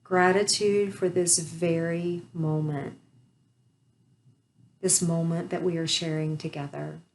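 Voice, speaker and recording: A woman leading a guided meditation, speaking softly and soothingly with long pauses. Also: sits low, level tone, slight background noise.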